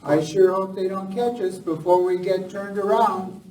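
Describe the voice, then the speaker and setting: Man acting a high-voiced western train hand. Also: fairly even intonation, moderate pace, a little mellow, natural voice. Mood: anxious, urgent. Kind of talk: amateur live western radio play. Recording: echoey hall, distant mic, noisy.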